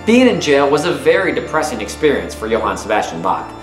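Man, 30s, teacher-style read-aloud of a kids' nonfiction book. Lively, expressive pitch movement, roomy home recording. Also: fairly quick. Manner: expressive, clearly phrased for young listeners. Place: small room at home, indoors. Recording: roomy echo with music behind. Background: music.

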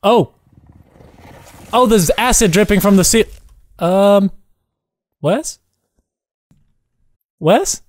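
Guy gaming with a buddy, kinda high voice, excitable but unhurried, very dry sound. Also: dry recording. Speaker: gamer playing a co-op roleplay map. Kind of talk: casual gameplay commentary.

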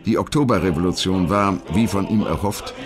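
A man with a low voice narrates a history documentary. His delivery is formal and measured, with carefully articulated phrases. Faint music plays behind him.

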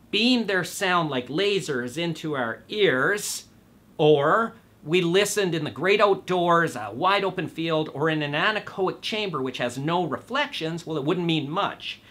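Guy in his 50s explaining stuff to camera, crisp bright voice a bit on the high side, stresses his key words. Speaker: presenter of an audio show. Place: small indoor studio room.

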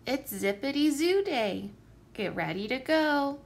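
A woman reading a children's picture book aloud as a teacher, with expressive, deliberate phrasing. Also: high-pitched, gravelly.

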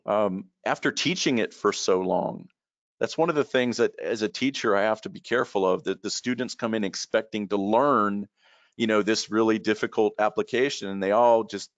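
A middle-aged man, a trainer telling stories in a relaxed, rambling way, repeating words and using many fillers, heard over a video call from a room at home. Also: on the low side, normal speed, no echo. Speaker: guest expert and trainer. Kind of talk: casual storytelling in a video call. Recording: dull lo-fi call audio.

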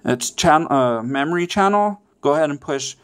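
A man with a fairly high, clean and smooth voice walks through instructions step by step. His delivery is casual and informal, and he keeps pausing to check in as he teaches. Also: lively intonation. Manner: repeatedly checks in with "okay" and "all right". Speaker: tutorial presenter.

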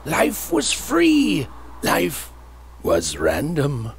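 A theatrical storybook narrator for a whimsical dark game fairy tale. He savours each word slowly, with huge swings in pitch. Noise is clearly heard behind him. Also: huge pitch swings, full character voice. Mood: wistful, playful.

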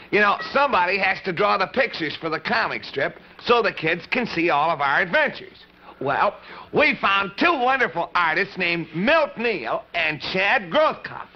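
A man voicing an earnest, friendly cowboy boy marionette in a folksy, exclamatory way on a 1950s children's puppet show. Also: sits high. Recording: dull, noisy old television soundtrack.

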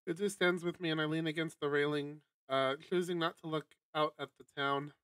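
A man's voice: a little high, steady tone, brisk, fairly bright tone, dry recording, recorded from a distance. A man streaming a visual novel, reading its narration aloud in a dramatic storytelling style.

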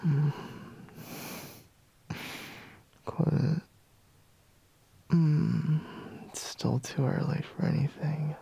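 A man playing a sleepy, grumbling boyfriend in an intimate ASMR roleplay, murmuring in a breathy, husky half-whisper close to the microphone. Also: fairly high pitch, dark tone, no echo, lo-fi sound, exaggerated voice. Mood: groggy, reluctant. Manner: drowsy, drawling, murmuring with sighs. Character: sleepy, grumbling, teasing young boyfriend woken in bed. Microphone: close microphone, dry.

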